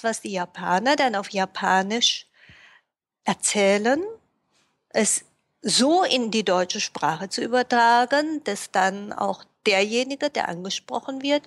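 A woman speaking as a guest in a podcast interview, speaking thoughtfully with frequent pauses and fillers. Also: fairly high pitch. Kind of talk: casual interview talk.

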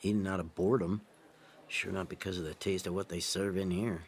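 Sullen deep-voiced jailed boyfriend. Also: male voice, fairly even intonation, a little fast. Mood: sullen, sarcastic. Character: sullen, jaded young drug-addict boyfriend in jail. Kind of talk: radio drama acting.

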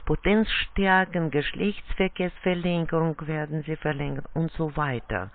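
A telephone sales agent who is not a native German speaker, reciting a formal, scripted pitch in halting, awkwardly phrased sentences. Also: slight background noise. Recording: muffled, band-limited phone line.

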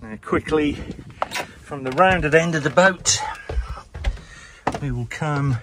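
A man's voice: fairly high pitch, takes it slowly, noisy background. A man in his fifties giving a rambling, casual tour of his narrowboat with plenty of ums, filmed on a handheld action camera in a small cabin. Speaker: vlogger.

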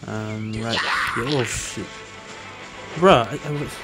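Male gaming host, medium pitch, offhand self-talk commentary, loud game music underneath. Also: slow. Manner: muttering reactions to himself, reads on-screen text aloud. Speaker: gaming video host playing an action RPG. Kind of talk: casual gameplay commentary. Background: game music and effects.

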